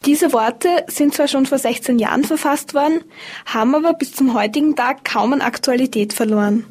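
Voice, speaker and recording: A woman with a slightly high, husky voice presents a scripted radio feature. She reads in a measured, informative way, explaining each point steadily. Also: a little mellow.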